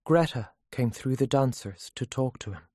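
A storyteller reading scripted fiction as an audiobook narrator, in measured, carefully phrased sentences. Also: mid-range voice, darkish tone, dry recording, clean studio sound.

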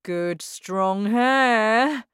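A woman reading a children's story, voicing a loud, boastful, self-important father who talks slowly and grandly. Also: smooth, dry recording, studio quality. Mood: self-satisfied. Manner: pompous, slow and booming.

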